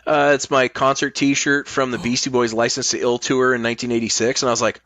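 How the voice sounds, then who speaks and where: Male podcast guest, middle-aged, medium pitch, dry room-free sound, blunt sweary casual talk. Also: level tone, a little fast, a bit bright, no echo. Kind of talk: casual chat.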